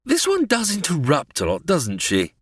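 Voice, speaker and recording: Wildly theatrical, gloating mad-scientist villain. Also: male voice, on the high side, wildly swooping pitch, normal speed, a bit bright, slightly rough, no echo, heavily acted. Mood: amused menace. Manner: theatrical, condescending, formal. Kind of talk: old-time radio melodrama parody acting.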